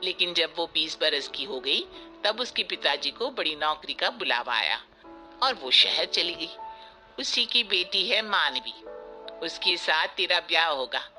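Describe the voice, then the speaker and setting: Woman playing middle-aged village mother-in-law, boastful, bossy, folksy, very sharp bright tone, music underneath. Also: sits low, lively intonation, a little slow, heavily acted. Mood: proud, scheming. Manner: folksy, emphatic, chiding. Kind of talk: Hindi moral story cartoon voice acting. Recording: music bed behind the voice.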